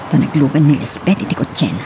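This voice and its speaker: A woman's voice: a bass voice, expressive, fast talker, background noise. A woman with a Filipino accent playing a suspicious, scheming woman in a radio drama. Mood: suspicious, musing. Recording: prominent music under the voice.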